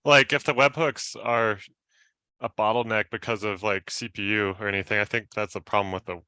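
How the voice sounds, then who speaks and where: A man's voice: lowish voice, fairly even intonation, fairly quick, pushed and bright, clear, resonant tone, no room sound, rough recording. A man giving a casual technical explanation among colleagues, in informal phrasing. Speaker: software engineer in a team meeting.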